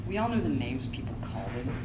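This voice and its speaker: A woman's voice: a bass voice, very animated, quick pace. Regretful student, played by a young woman, conversational but dramatic, distant and noisy stage recording. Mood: wry, uneasy. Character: regretful, candid high school student. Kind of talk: readers theater monologue. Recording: echoey room, distant mic, clear noise.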